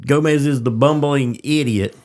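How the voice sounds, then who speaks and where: A man in casual podcast conversation as a co-host, speaking haltingly and repeating words. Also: medium pitch, a little mellow. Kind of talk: casual chat.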